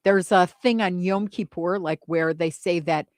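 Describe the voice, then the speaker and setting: A woman's voice: on the low side, a little slow, darkish tone, dry recording, clean studio sound. A middle-aged woman co-hosting a radio show, telling funny stories, laughing and breaking into bits of song. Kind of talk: casual chat, storytelling.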